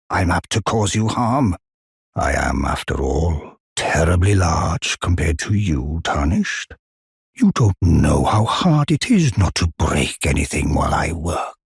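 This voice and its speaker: A man playing a huge, courteous old giant blacksmith in a fantasy video game, with a deep, husky, weathered voice and measured, old-fashioned phrasing. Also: dry recording, studio quality, full character voice. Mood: startled, apologetic. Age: older.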